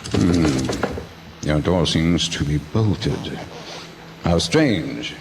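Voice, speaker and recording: An actor playing a suave, menacing vampire count who speaks with elaborate, mocking courtesy in a radio drama. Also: male voice, a bass voice, animated, very slow, very noisy, exaggerated voice. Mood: mockingly courteous.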